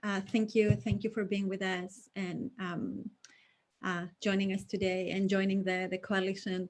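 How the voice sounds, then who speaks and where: A woman from Greece, presenting a manifesto on a video call with many fillers and measured emphasis. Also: mid-range voice, flat delivery. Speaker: coalition member presenting a manifesto. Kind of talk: online event presentation.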